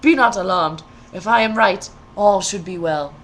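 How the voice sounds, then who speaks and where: A woman's voice: mid-range voice, moderate pace, close to an everyday voice. A woman voicing an earnest young fairy-tale prince with a formal, courtly, declamatory manner. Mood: solemn, hopeful.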